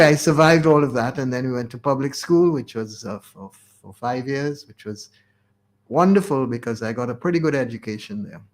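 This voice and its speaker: A man's voice: medium pitch, a little mellow. Elderly man, hesitantly reminiscing. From Caribbean. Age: 80s. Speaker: interview guest. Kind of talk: unscripted reminiscing in a radio interview.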